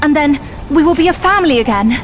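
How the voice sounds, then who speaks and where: An actress playing a young fox-like demon princess in a video game, a coaxing, earnest and expressive daughter. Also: female voice, high-pitched, quick pace, background noise. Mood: pleading.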